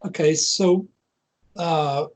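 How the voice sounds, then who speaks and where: A man's voice: fairly high pitch, steady tone, very slow. A man hosting a casual interview, encouraging and agreeable with his guest. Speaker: podcast host.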